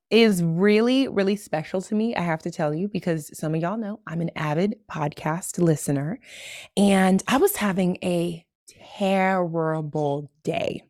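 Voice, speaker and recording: A woman's voice: on the low side, no room sound, clean studio sound. A woman hosting a faith podcast, chatting in an effusive way and often saying y'all. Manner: warm, effusive, says y'all a lot. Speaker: podcast host. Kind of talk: casual podcast chat.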